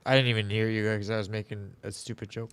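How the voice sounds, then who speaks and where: A man's voice: lowish voice, level tone, fast talker, clean tone. A man on a livestream making dry joking quips from the side.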